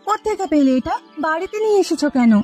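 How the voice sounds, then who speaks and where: A woman's voice: very high voice, lively intonation, quick pace, music in the background. A woman voicing a nagging, bossy, money-minded village wife in a cartoon, with a scolding manner. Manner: scolding, complaining. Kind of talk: Bengali cartoon story dialogue.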